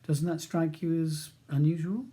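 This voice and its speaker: Man playing a composed, mellow-voiced barrister. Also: a little high, fairly even intonation, pitched above the performer's own voice, lightly acted. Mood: brisk, probing. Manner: polite, measured, professional. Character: male barrister in his thirties, composed, courteous, persuasive. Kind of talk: audiobook character dialogue.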